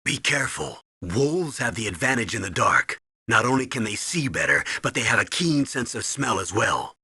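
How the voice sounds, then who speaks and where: A man playing a gruff, urgent military commanding officer briefing his agent in a video game, with a commanding, instructive delivery. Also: mid-range voice, very animated, normal speed, pushed and bright, no room sound, heavily acted. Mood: commanding, steady.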